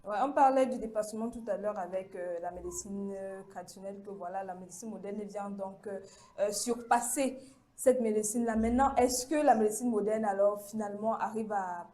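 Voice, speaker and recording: A woman's voice: medium pitch, a little fast, dark tone. A woman hosting a campus talk show, steering the debate with questions and fillers. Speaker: host.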